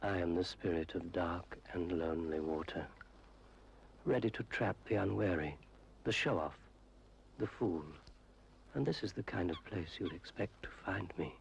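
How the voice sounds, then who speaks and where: An actor playing a malevolent water spirit, sinister and patient, narrating a 1970s public information film in a slow, ominous way. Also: male voice. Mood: menacing. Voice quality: low gravelly voice. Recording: noisy old 1970s film soundtrack.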